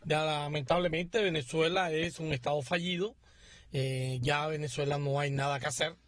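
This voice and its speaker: A Cuban-born man in his sixties, a guest on a radio panel, giving measured and deliberate political commentary. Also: a little high, fairly even intonation, fairly bright tone, no echo, studio quality. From Cuba. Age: 60s.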